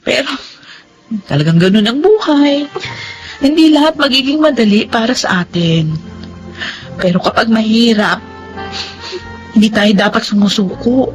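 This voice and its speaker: An actress playing a hardworking, resilient young Filipina student in a radio drama, earnest and plainspoken. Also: female voice, a little high, low-quality recording. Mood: earnest, firm. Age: young adult.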